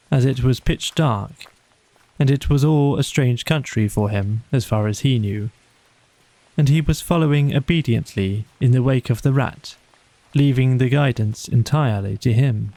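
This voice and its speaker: A man narrating a bedtime storybook in a measured, soothing way, with deliberate phrasing. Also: mid-range voice, dark tone, dry recording, poor audio. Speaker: audiobook narrator.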